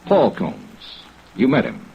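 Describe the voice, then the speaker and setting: A dull old radio recording with rain noise carries a hard-boiled insurance investigator. His low voice swings widely in pitch and has a gravelly edge. He questions in a terse, wry, persistent way. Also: huge pitch swings, slow, exaggerated voice. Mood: pressing. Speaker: insurance investigator lead and narrator. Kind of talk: old-time radio detective drama acting.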